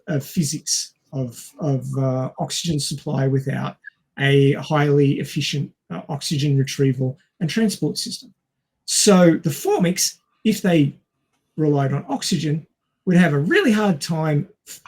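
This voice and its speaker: A man's voice: on the high side, a little mellow, slightly rough. A man co-hosting a podcast, explaining science informally in a didactic manner. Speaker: podcast co-host.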